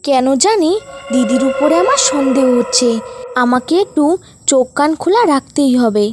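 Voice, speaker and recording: Woman putting on a lively, scheming uncle bird. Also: high-pitched, moderate pace, very noisy. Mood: suspicious. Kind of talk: Bengali children's cartoon dubbing. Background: music.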